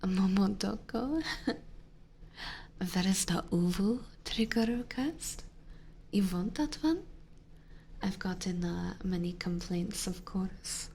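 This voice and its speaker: Whispering woman streamer, slow and gravelly. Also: mid-range voice, lively intonation, slight background noise. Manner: whispering, with frequent soft laughs. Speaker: live streamer reading chat. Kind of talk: soft-spoken whispered chat with viewers.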